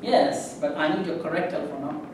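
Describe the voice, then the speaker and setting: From a distance in a very echoey room, a man plays a cautious, procedural social worker. The delivery is measured and formal, as read in a stage play. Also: fairly high pitch, lightly acted. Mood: conciliatory, practical. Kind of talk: amateur staged reading of a play. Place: live staged reading in a reverberant room. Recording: very echoey room, distant mic, faint noise.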